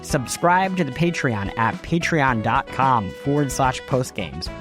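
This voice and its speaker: A man's voice: mid-range voice, lively intonation, unhurried. A man hosting a podcast, reading scripted news and show notes in a wry, conversational way. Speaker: podcast host. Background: music behind the voice.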